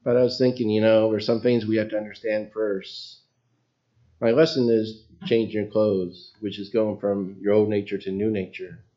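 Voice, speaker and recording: Low-voiced man preaching in a small church. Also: level tone, moderate pace, dark tone, muffled recording. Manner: folksy, loses his place and apologizes. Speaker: lay preacher. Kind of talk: informal sermon with prayer and scripture reading. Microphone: PA microphone.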